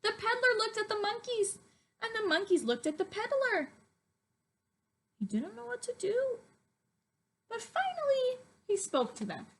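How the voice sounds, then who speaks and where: A woman teacher reading a picture book to young children in an expressive, sing-song, emphatic way and putting questions to them. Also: very high voice, measured pace, pauses often.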